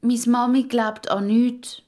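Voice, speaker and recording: Woman as a high-voiced, eager Swiss German girl. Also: flat delivery, slow pace, mellow. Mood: curious. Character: young girl, curious, outspoken and secretive.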